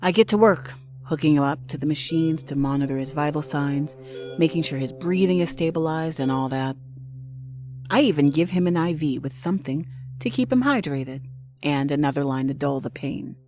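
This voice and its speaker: A woman's voice: low-pitched, natural voice. A woman playing a nurse in an audio drama, narrating theatrically in the first person, soothing on the surface and vengeful underneath. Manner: theatrical, swinging between cooing reassurance and private contempt. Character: vengeful nurse, sweetly soothing outside, cold and bitter within.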